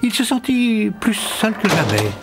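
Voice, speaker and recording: A man narrating an animated fairy tale as a scripted storyteller. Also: high-pitched, measured pace, soft background music, heavily acted. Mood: storytelling.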